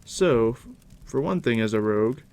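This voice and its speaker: A man softly near-whispering in an ASMR roleplay as a game master, casual and unhurried with a dry, teasing manner. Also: creaky, clear, resonant tone. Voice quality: deep, hushed near-whisper. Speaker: ASMR roleplay host, playing a dungeon master guiding a new player. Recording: steady background noise, crackling fire ambience. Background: fire crackle.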